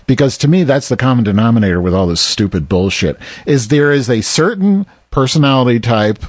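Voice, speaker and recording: A man chatting in a loose, rambling way, dropping sarcastic jokes and curses. His voice sits at an ordinary pitch and sounds dry and close, with no room echo. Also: expressive, fairly quick, husky. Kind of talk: casual comedic chat.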